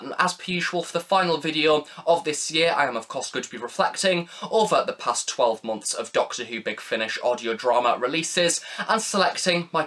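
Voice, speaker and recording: A man's voice: a little high, pushed and bright. A man hosting a review video, presenting a scripted countdown with wordy, formal phrasing. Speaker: review channel host.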